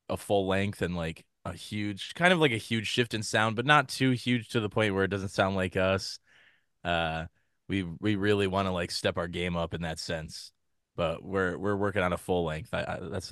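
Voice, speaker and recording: Deep-voiced man in his late twenties. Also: fairly bright tone, dry recording, studio quality. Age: late 20s. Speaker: musician guest. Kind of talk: casual interview answers.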